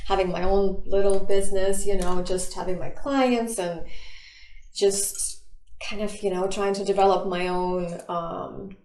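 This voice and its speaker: A woman from Russia, a business owner answering interview questions in a chatty, animated way, often laughing. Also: mid-range voice, a little slow. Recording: echoey room.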